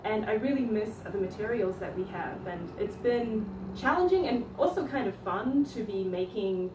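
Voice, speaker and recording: A woman from New Zealand, an art teacher, chatting casually through a livestream tour of her studio, often pausing with ums and restarting her sentences. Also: medium pitch, lively intonation, noisy background. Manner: hesitant, many ums and restarts. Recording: echoey room, voice from a distance.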